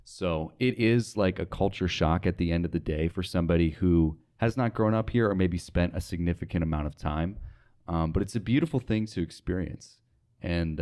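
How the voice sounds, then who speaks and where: A man's voice: deep voice, a little mellow, smooth. A young American man from North Dakota talking informally and earnestly as an interview guest, thinking aloud with plenty of "like". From North Dakota, United States. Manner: informal, reflective, earnest, with frequent "like". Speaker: interview guest, radio sales associate. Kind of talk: casual conversational interview.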